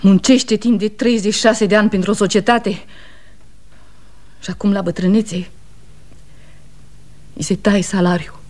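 A woman's voice: medium pitch, steady tone, pauses often, darkish tone, lightly acted. An actress playing a weary, fiercely loyal aging wife and mother, pleading and reproachful, in 1960s radio theatre style. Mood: indignant grief.

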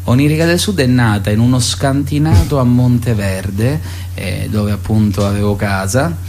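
A man's voice: medium pitch, normal speed, darkish tone. A man speaking spontaneously in a radio interview as a theatre writer and director, often hesitating as he searches for words. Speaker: theatre author, director and actor.

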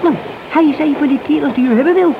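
An actor playing a wheedling, hesitant petty crook and police informer in a radio play. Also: male voice, very high voice, fast. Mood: nervous. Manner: hesitant, evasive, servile to his handler. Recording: dull, noisy old radio drama recording.

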